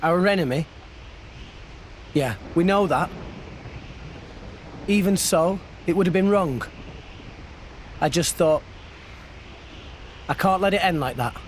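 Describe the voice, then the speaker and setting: A man's voice: high voice, takes it slowly, long pauses, slight background noise. An actor playing an earnest, kind-hearted teenage boy hero in a video game cutscene.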